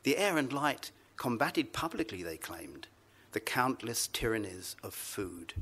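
A man reading his own literary story aloud to a live festival audience, measured and wry. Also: mid-range voice, sing-song, pushed and bright, breathy edge.

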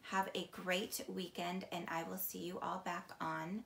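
Female read-aloud teacher, middle-aged, unhurried and expressive, crisp bright tone, slightly low-pitched, roomy sound. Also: a little hiss or hum. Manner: slow, deliberate, expressive storytelling. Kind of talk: children's picture book read-aloud. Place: small indoor room, seated on couch. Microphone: camera a few feet away. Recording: echoey room.

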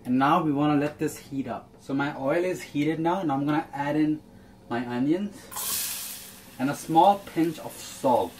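A man's voice: on the high side, normal speed, slight background noise. Young man explaining a recipe. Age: young adult. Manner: friendly, upbeat instructional tone. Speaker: cooking channel host. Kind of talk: step-by-step cooking instructions. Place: small home kitchen, indoors.

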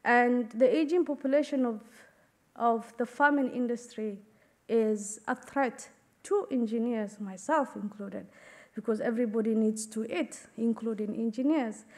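A woman in her thirties from Kenya, giving an earnest, measured talk at a conference podium. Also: on the high side, a little mellow. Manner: earnest, measured, with fillers and gestures. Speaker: conference speaker. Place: conference stage, indoors. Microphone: podium microphone.

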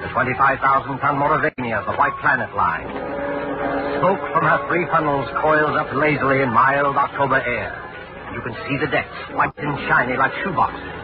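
A man narrates an old radio drama in measured, vivid scene-setting lines. His voice is muffled by the old broadcast and music plays loudly beneath him. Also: medium pitch.

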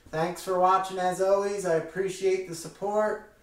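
A man's voice: sits high, little pitch movement, normal speed. A middle-aged man giving a casual hands-on demonstration in a folksy, informal, plain-spoken way. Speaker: hobby vlogger demonstrating a product. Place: small indoor room at home. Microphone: camera a few metres away. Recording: very echoey room, distant mic, faint noise.

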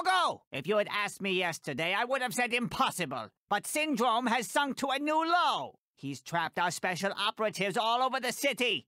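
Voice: medium pitch, pushed and bright, no room sound, studio quality. A performer voicing a tiny, imperious older woman fashion designer in a video game, theatrical and bossy, calling everyone darling. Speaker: mission giver.

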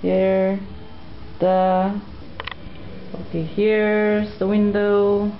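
A middle-aged woman walking through her house with a camcorder, naming each room in a halting, casual way. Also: medium pitch, little pitch movement, dull audio, slight background noise. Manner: halting, pointing things out one by one. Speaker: mother giving a house tour. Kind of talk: casual home-video narration. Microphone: built-in camcorder mic. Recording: echoey camcorder audio with music over it.

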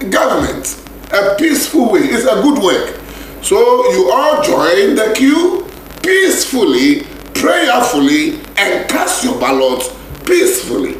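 Ghanaian male radio preacher; high voice, unhurried and intoning, booming echo. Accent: Ghanaian English. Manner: repeats and intones scripture lines slowly. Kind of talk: radio sermon. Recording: echoey radio broadcast with faint hiss.

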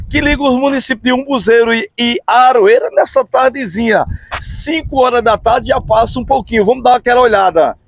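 Upbeat high-voiced man outdoors. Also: vocal fry. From Brazil. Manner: upbeat, drawn-out phrases, frequent "hein, pessoal?" tags. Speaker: channel host. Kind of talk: casual vlog narration. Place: outdoors on a road bridge over a river. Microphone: phone camera at arm's length.

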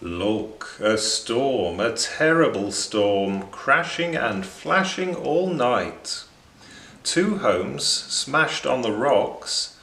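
Echoey man reading storybook, lively pitch. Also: gravelly, slight background noise. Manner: measured, dramatic delivery for young listeners. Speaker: children's storybook reader. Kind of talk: expressive read-aloud narration of a rhyming picture book. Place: small indoor room beside bookshelves. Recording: roomy indoor echo.

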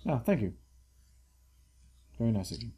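A man's voice: mid-range voice, sing-song, moderate pace, warm tone, slight background noise. A young man co-hosting a casual pool game video, teasing in a mock-formal manner. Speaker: gamer co-host. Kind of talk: casual gaming chat.

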